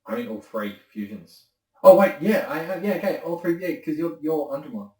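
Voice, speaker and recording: A man's voice: fairly high pitch, animated, brisk, mellow, echoey room, far from the mic. A man guest talking casually about voice acting, rambling and correcting himself as he goes. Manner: rambling, self-correcting, chatty. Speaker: voice actor guest. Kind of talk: casual conversation.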